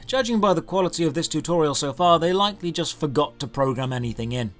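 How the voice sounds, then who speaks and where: A man narrating a scripted video game review in a sardonic, exasperated manner. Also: a little high. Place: voice-over recorded off screen over gameplay footage.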